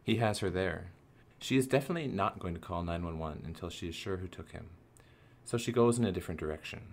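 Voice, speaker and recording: A man's voice: low-pitched, quick pace. A man reading scripted narration for a radio play.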